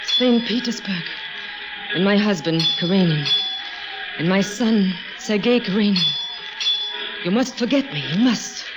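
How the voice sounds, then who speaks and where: Female, actress playing a tormented noble wife in love, pleading radio melodrama, medium pitch, heavy music underneath. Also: measured pace, long pauses, close to an everyday voice, rough recording. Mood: agitated, pleading. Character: anguished married Russian society woman in love, fearful and noble. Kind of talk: mid-century radio melodrama acting. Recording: vintage radio broadcast.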